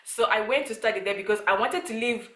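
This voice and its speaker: A woman's voice: mid-range voice, fast, fairly bright tone. Chatty young woman from Zimbabwe, echoey room. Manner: chatty, rambling with many fillers and restarts. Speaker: vlogger. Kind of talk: casual talk to camera. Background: child talking in the background.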